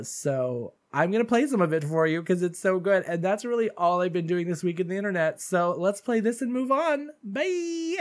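A man hosting a casual solo podcast, chatty and gushing, calling his listeners darlings, a little stuffy from allergies. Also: sits high. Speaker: podcast host.

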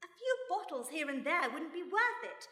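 An actress playing a brisk, bossy mother who works as an amateur sleuth in a radio play. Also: high voice, big pitch swings, sharp tone, heavily acted. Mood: alert, reasoning. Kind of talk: radio play acting before a live audience. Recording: echoey hall, live stage recording.

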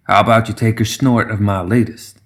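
An ancient gremlin brewmaster, acted out by a male narrator, gruff and proud. He talks slowly in a folksy dialect, like a backwoods moonshiner in a fantasy tale. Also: on the low side, fairly even intonation, lightly acted. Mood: folksy pride.

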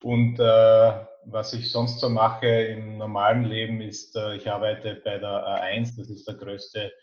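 A man's voice: fairly low pitch, flat delivery, a little slow. A man in his fifties with an Austrian accent, talking casually and thoughtfully as an interview guest. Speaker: podcast guest. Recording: dull, echoey remote-call sound.

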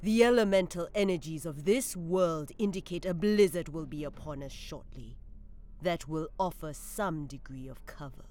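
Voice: mid-range voice, moderate pace, a little mellow, close to an everyday voice. A performer voicing a cool, calculating traitor psyker who speaks in a precise way. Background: music.

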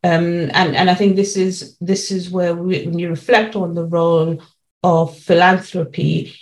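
A woman giving explanatory interview answers as a policy lead, laying out points in measured, formal terms. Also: lowish voice, level tone.

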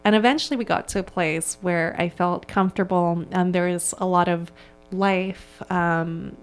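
A woman's voice: on the low side, fairly even intonation, normal speed, clean tone, soft background music. A woman in her thirties from Hong Kong, a yoga studio owner answering interview questions with eager, earnest enthusiasm and a few ums and restarts.

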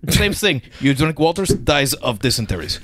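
A man voicing a comic deadpan Soviet Russian in broken English with a put-on Russian accent. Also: mid-range voice, normal speed, background noise, lightly acted. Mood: deadpan grim.

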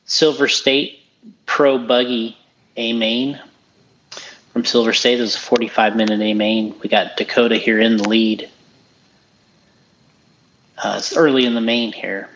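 A man's voice: mid-range voice, steady tone, a little slow, long pauses, fairly bright tone, lo-fi sound, a little hiss or hum. A man co-hosting a casual podcast, chatting about an RC race in a loose, rambling way with lots of restarts. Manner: rambling, restarts often, easygoing. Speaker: podcast co-host. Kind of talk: casual chat, race commentary.

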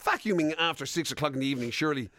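A man's voice: fairly high pitch, expressive, rapid-fire, bright, forceful tone, dry recording, clean studio sound. An Irish man co-hosting a radio show in casual, wry banter, often sounding mock-indignant.

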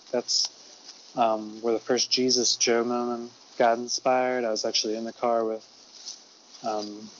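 A man playing a deadpan male ghost-tour guide who talks low and quietly, rambling with lots of fillers. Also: highs cut off, a little hiss or hum, natural voice.

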